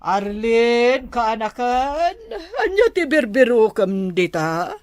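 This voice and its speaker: Woman acting a slow, syrupy, sly aunt. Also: fairly high pitch, expressive, a little mellow, no echo. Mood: sly, demanding. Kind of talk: Ilocano radio drama acting.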